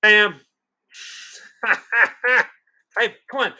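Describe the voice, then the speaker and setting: A man's voice: sits high, steady tone, extremely slow, bright, forceful tone. A man in his forties with an American accent, co-hosting a casual livestream and joking while he reads the chat. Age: 40s. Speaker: co-host of a livestream watch party.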